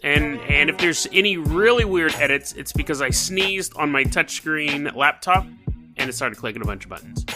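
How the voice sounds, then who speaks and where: Male podcast host, 30s, bright and fairly high voice, a touch hoarse from a cold, chatty and rambling. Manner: chatty, rambling and self-amused. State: slightly hoarse from a head cold. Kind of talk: casual solo podcast monologue.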